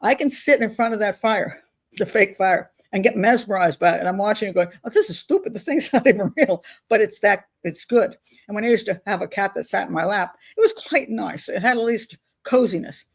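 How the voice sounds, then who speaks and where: A woman's voice: medium pitch, animated, fairly quick, creaky. An older woman hosting a casual advice vlog, talking in a chatty, candid, rambling and self-deprecating way. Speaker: advice show host on YouTube.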